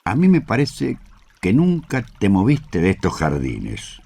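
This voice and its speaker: An actor playing an imperious, skeptical emperor in a literary radio drama, with a theatrical, incredulous manner. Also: male voice, lowish voice, huge pitch swings, measured pace, vocal fry, darkish tone, exaggerated voice.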